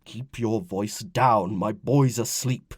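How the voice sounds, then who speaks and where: Man playing a gruff, affectionate Roman commander. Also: fairly low pitch, very animated, moderate pace, warm tone, no echo, studio quality. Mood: irritated. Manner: affectionate, grumbling, authoritative. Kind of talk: audiobook character dialogue.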